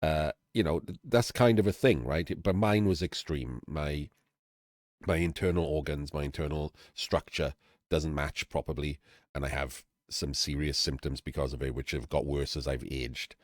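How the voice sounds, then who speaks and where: A middle-aged man with a Welsh accent and an extremely deep voice. He talks casually and candidly, dropping in fillers and clearing his throat now and then. His voice is recorded close and dry, with no room around it. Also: moderate pace, no echo. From Wales. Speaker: streamer and channel host. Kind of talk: casual talk to camera-less audience.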